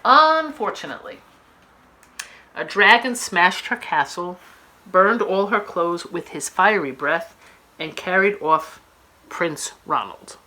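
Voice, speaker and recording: A woman's voice: fairly low pitch, lively intonation, slow pace, pushed and bright. A woman storyteller reading a children's book aloud, expressively and with dramatic emphasis.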